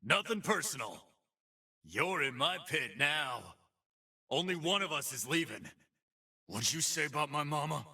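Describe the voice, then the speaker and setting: An actor playing a brash, swaggering video game brawler who adores his mother, gruff and raspy, taunting in tough-guy slang. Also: a little high, sing-song, a little slow, pauses often, bright, forceful tone, distant mic, exaggerated voice. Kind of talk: punchy fighting game voice lines.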